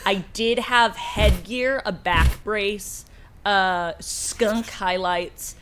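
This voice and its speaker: A young woman with a raspy voice from a cold, co-hosting a casual comedic podcast with dry, deadpan jokes. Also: mid-range voice, extremely slow, noisy background. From United States. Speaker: podcast co-host.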